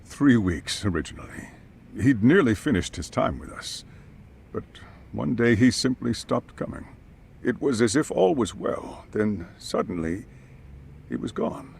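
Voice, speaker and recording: A man's voice: mid-range voice, animated, unhurried, gravelly, darkish tone, a little hiss or hum. A man playing a polite, anxious school chairman in a video game cutscene, formal and hesitant. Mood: reluctant.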